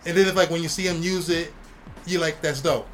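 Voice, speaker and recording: A man's voice: fairly high pitch, fairly quick, fairly bright tone, soft background music. A man chatting casually about comics and movies, rambling with lots of slang and fillers. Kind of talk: casual comic book chat.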